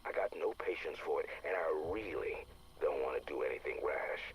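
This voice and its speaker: An actor playing a blunt, impatient male soldier with a gruff, sardonic manner. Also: a bass voice, normal speed, a little hiss or hum, rough recording.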